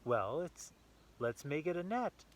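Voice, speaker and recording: A man's voice: a little high, big pitch swings, a little slow, pitched above the performer's own voice. A man voicing a kindly, helpful animal friend in a storybook, encouraging and instructive.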